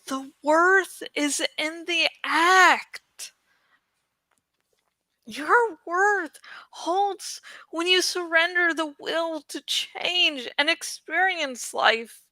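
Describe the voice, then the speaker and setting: A woman acts out a calm, wise female dragon from a fantasy storybook. The high voice moves slowly and solemnly, softer and hushed, in a dry close sound. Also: no echo, heavily acted. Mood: solemn, consoling.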